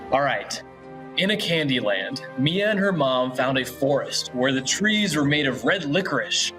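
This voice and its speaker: A man's voice: mid-range voice, animated, moderate pace, pushed and bright, faint music behind. A man hosting a casual, upbeat online event in a chatty, encouraging, informal way. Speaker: show host.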